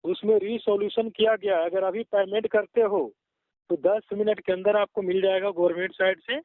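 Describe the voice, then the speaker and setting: A man's voice: sits high, flat delivery, moderate pace, no room sound. A man talking in a phone call recording in a pushy, curt and insistent way. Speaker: caller soliciting payment for a job listing. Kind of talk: phone conversation.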